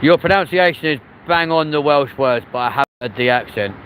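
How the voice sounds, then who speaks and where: A man's voice: mid-range voice, animated, brisk, a bit bright, a little hiss or hum, rough recording. Chatty London man hosting a live stream. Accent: London, with a mix of areas. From South West London, England. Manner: chatty and jokey, reads chat comments aloud. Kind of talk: casual live-stream chat. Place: outdoors on a city street.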